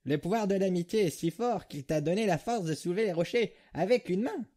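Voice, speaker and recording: A man's voice: high voice, a little fast, warm tone, lightly acted. A man voicing a bombastic, childishly enthusiastic skeleton in a comic dub, with theatrical, declamatory delivery. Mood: enthusiastic, proud.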